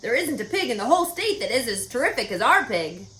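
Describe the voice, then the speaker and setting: A woman voicing a proud, excited male farmer in a storybook, boastful and emphatic as he gives orders. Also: on the high side, fast, reverberant. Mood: excited, admiring.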